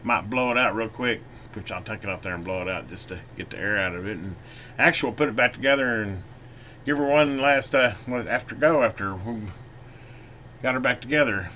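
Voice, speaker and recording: A man giving a casual hands-on repair demonstration, folksy and unhurried as he talks while working. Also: lowish voice, pushed and bright. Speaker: channel host doing electronics repair. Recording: noisy room, clearly audible background noise.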